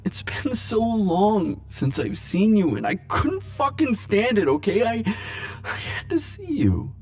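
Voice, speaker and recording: A man playing a lovesick, world-weary immortal in an intimate romantic audio roleplay, speaking haltingly and emotionally. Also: sits high, wildly swooping pitch, soft background music, exaggerated voice. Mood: desperate, pleading. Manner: halting, emotional, stammering confessions with soft wry asides.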